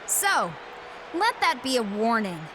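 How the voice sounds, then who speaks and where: A woman's voice: fairly high pitch, big pitch swings, takes it slowly, noisy background. A woman playing an arrogant, condescending villainous wrestling champion in a video game. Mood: menacing, smug. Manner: smug, condescending, boastful. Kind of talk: scripted video game cutscene acting.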